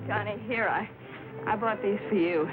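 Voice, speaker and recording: An actress playing a playful, sentimental American romantic heroine in old film noir style. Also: female voice, mid-range voice, normal speed, lightly acted. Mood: tender, wistful. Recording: tinny old film soundtrack, loud music.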